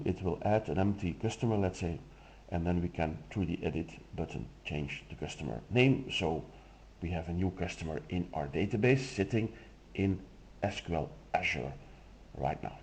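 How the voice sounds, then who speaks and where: Deep-voiced Dutch-native man demoing software. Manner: relaxed step-by-step explaining with fillers. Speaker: software demo presenter. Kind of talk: screen-recorded software walkthrough.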